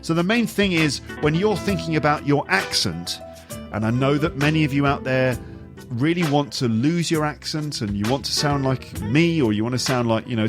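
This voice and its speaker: Reassuring man over music. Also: medium pitch. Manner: reassuring, emphatic repetition. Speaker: English teacher. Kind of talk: podcast lesson excerpt.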